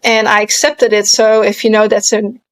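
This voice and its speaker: A woman's voice: medium pitch, little pitch movement, moderate pace, poor audio. A woman in her thirties from the Netherlands with a Dutch accent, chatting casually as an interview guest and sometimes searching for English words. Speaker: guest, pole dancer and movement artist.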